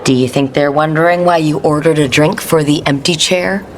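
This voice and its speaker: A woman playing a low-voiced wry heroine. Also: fairly even intonation, normal speed, a little hiss or hum, lightly acted. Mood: curious. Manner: wry, teasing. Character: cool, wry, protective adult heroine. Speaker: player hero in a fantasy game. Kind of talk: video game dialogue. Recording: loud music behind the voice.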